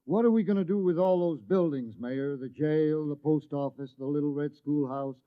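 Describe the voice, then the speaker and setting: A man's voice: fairly high pitch, normal speed, no room sound, close to an everyday voice. A dull, close vintage recording has a man acting a practical villager. He sounds kindly and reassuring, speaking plainly in 1950s radio drama style. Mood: consoling.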